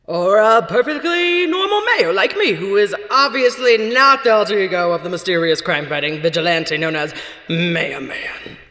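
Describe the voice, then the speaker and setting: A man's voice: high-pitched, lively intonation, moderate pace, sharp tone. A man playing a self-important mayor who hides a vigilante identity, blustering and theatrical. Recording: echoey, reverberant hall.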